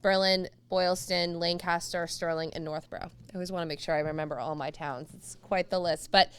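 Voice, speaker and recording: Mass. woman legislator, earnest stump speech, really crisp clear voice, dry. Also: lowish voice, steady tone, fairly quick, no room sound. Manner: earnest, with light self-deprecating humor. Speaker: state representative. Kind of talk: stump speech at a candidates night.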